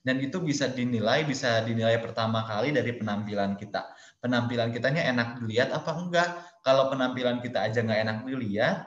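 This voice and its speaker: A man speaking at an ordinary middle pitch walks his listeners through a lesson point by point in an easygoing, friendly way. His voice carries a noticeable room echo. The sound is muffled and lo-fi, typical of an online webinar call. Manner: explains step by step in numbered points; casual, addresses listeners as friends. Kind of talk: webinar lecture. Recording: roomy, dull lo-fi webinar audio.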